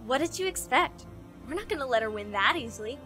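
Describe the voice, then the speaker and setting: A woman's voice: sits high, expressive, fast, pushed and bright, soft background music. A woman playing a proud, determined young cartoon princess with a firm, commanding manner.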